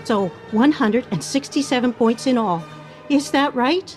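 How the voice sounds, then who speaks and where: Woman acting prim lady in a radio play, slow and savouring, highish voice, faint music behind. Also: a little mellow, natural voice. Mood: triumphant. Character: prim, slow-spoken woman, savouring her small triumphs.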